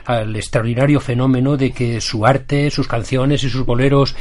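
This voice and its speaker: A man's voice: medium pitch, steady tone, normal speed, darkish tone. Polite, hesitant male radio interviewer. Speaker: radio show host.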